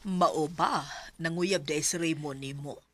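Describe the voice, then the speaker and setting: A woman's voice: sits low, a little slow, no echo, soft background music. A woman playing a protective middle-aged aunt in a radio drama, firm and scolding.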